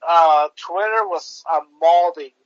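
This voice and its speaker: High-voiced man, halting podcast chatter. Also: dry recording, dull audio. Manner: halting, stacks up fillers and restarts. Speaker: podcast co-host. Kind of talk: casual political chat.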